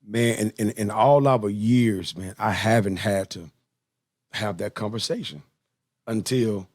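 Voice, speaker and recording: A man's voice: lowish voice, unhurried, darkish tone. A man hosting a casual chat interview, talking informally and colloquially and often repeating himself. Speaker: podcast host, interviewer.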